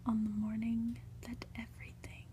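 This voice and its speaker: A woman reading a story aloud as ASMR in a soft, breathy whisper, with a hushed, gentle, deliberate delivery. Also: on the high side, completely flat delivery, a little hiss or hum. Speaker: host reading a graphic novel aloud.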